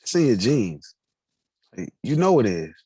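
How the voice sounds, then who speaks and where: A man acting a concerned grown son in a stage drama reading. His voice is rough-edged and dry, moving expressively as he earnestly persuades. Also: medium pitch, sing-song, a little slow, warm tone, no echo. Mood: encouraging.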